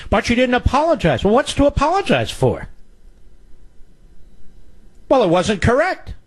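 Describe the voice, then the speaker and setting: A man's voice: very high voice, wildly swooping pitch, moderate pace. A male radio talk show host delivering a combative, sarcastic political monologue and taking calls.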